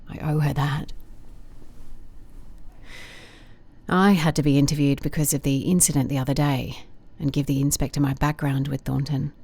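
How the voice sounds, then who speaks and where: Low-voiced, weary private investigator. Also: female voice, level tone, darkish tone, slightly rough. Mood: subdued, grieving. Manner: dry, measured, confiding. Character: weary female private investigator dictating case notes, dry and guarded. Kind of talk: audio drama monologue as dictated notes.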